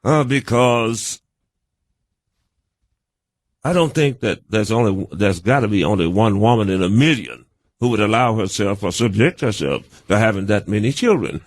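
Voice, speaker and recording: Older American man, halting and folksy, lowish voice. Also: lively intonation, no room sound. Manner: halting, wordy, folksy turns of phrase. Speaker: guest being interviewed. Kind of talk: conversational, reminiscing interview answers.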